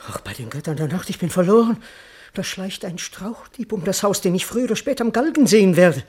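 Cheeky coward of a servant, swoops all over, wordy and puffed up, old-school verse radio play. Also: male voice, high voice, sing-song, brisk, darkish tone, husky, full character voice. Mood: frightened, wary. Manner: declamatory, wordy, comically self-important. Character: cowardly, cheeky, boastful male servant, talking to himself. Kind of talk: theatrical classical verse radio drama.

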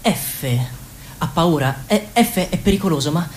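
Actress as wary, analytical female insider, lowish lively voice, halting confidences, slight background noise. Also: lightly acted, rough recording. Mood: wary, anxious. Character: wary, analytical party member thinking aloud. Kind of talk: Italian radio theatre, confiding narration.

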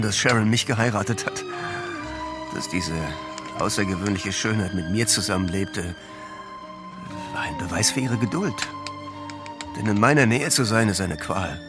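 An actor playing a grieving, guilt-ridden widowed film director in a radio drama, sombre and measured. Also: male voice, lowish voice.

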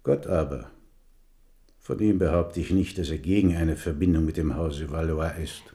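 Cautious admiral, deep, dark tone, grave, deliberate, radio play. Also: male voice, fairly even intonation. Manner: deliberate, sober. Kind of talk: German radio play acting.

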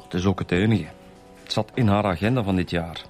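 An actor playing a plain-spoken male detective in a Flemish radio drama, measured and matter-of-fact. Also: low voice, music in the background, lightly acted. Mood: weary, grumbling. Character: plain-spoken male detective, diligent subordinate who grumbles.

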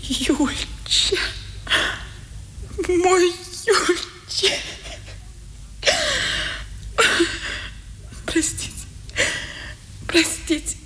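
An actress playing a tender, spirited wife in a theatrical, emotional 1950s radio drama style. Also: female voice, high voice, a little hiss or hum, exaggerated voice. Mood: moved, grieving. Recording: old radio play recording with music.

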